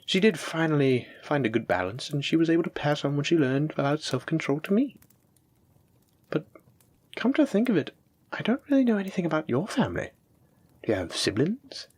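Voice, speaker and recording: A man playing a doting, gently possessive dragon-blooded librarian, speaking tenderly and coaxingly to his sweetheart in an intimate audio roleplay. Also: fairly high pitch, sing-song, brisk, husky. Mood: curious, warm. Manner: tender, coaxing, affectionate, reassuring. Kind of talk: intimate scripted audio roleplay addressed to the listener.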